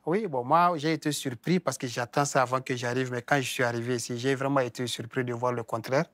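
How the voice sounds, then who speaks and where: A man from Africa describing his experience of settling in, warmly emphatic and often stressing his words. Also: mid-range voice, fairly even intonation, fairly quick, dry recording. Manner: warmly emphatic, repeats "vraiment". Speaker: discussion participant, immigrant. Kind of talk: personal testimony.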